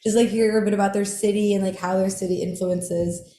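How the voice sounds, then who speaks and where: A woman's voice: mid-range voice, fairly even intonation, brisk, dark tone. A young woman hosting a podcast in casual chat, giggly and chatty with lots of fillers. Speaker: podcast host. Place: in person, indoors. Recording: roomy echo.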